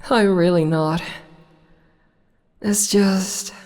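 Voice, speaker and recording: Woman voicing a demon empress, teasing, soft whispers, unhurried, long reverb tail. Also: mid-range voice, fairly even intonation. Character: teasing female demon empress, regal and amused. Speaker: voice actor. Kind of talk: ASMR audio roleplay.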